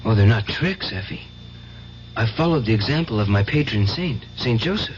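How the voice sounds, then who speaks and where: Actor as earnest, intense young man, expressive radio acting. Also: medium pitch, normal speed, natural voice. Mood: earnest, intent. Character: earnest, devout young man Joseph with uncanny powers. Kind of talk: old-time radio drama acting. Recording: noisy, dull old radio recording.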